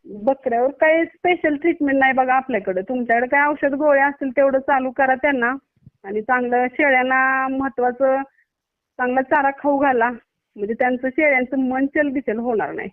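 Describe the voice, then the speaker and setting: High-voiced Maharashtrian woman on tinny phone line. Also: brisk, no room sound. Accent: Maharashtrian Marathi. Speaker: doctor. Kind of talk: casual phone conversation. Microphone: phone call.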